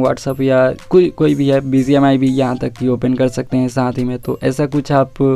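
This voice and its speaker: Even-toned man giving a tech walkthrough. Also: medium pitch, clear, resonant tone. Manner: informal, English words mixed in. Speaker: tech reviewer. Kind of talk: casual phone feature walkthrough.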